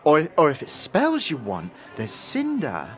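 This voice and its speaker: A man's voice: on the high side, huge pitch swings, measured pace, fairly bright tone, faint music behind, exaggerated voice. A man voicing a shy, lonely, eager young woman who rambles and stammers in a fantasy audio drama. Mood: eager, rambling. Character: shy, lonely, chatty, eager young female market girl.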